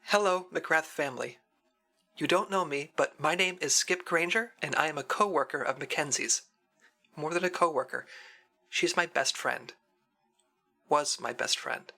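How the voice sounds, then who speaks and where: An actor playing an earnest secret agent who records a solemn, dramatic farewell message in an audio drama. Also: male voice, a little high, normal speed, vocal fry, pauses often, bright, forceful tone, soft background music, heavily acted. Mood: solemn, heartfelt. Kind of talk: audio drama acting, scripted monologue.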